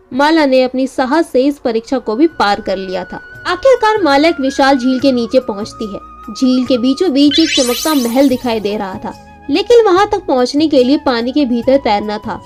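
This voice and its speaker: A woman's voice: high-pitched, animated, normal speed, a little mellow. A woman narrating a scripted children's bedtime story as the storyteller. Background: music.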